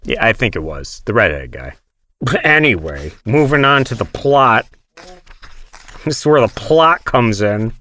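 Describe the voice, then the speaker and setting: A man's voice: mid-range voice, big pitch swings, measured pace, pushed and bright. A man reading scripted audiobook narration, going back over lines in retakes.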